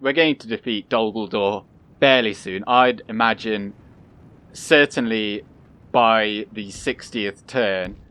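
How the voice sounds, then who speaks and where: A young man giving calm, casual commentary over his own gameplay, soft-spoken and pausing often with fillers. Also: lowish voice, clean tone. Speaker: gaming channel host.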